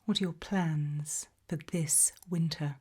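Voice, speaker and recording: A British woman whispering an ASMR roleplay as a creepy, probing examiner, asking questions slowly and deliberately with quiet playfulness. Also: low-pitched, fairly even intonation, breathy edge. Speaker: ASMR roleplayer playing an interviewer.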